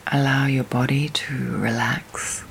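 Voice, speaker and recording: Female meditation guide, hushed and breathy with gravelly fry, soothing and spacious delivery, faint storm and rain in the background. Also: very deep voice. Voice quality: soft, breathy, often near whisper. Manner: slow, soothing, long pauses between phrases. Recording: steady storm and rain noise.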